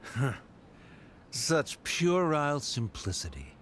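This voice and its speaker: A man's voice: a little high, huge pitch swings, slow pace, fairly bright tone, slight background noise, exaggerated voice. A man playing a haughty, cold scholar and father in a video game, speaking in a pompous, curt way. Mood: disdainful, grudging.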